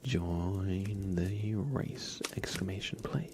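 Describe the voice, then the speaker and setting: A man's voice: low-pitched, very slow, noisy background. A man hosting an ASMR live stream, giving mostly whispered, mock-dramatic game commentary.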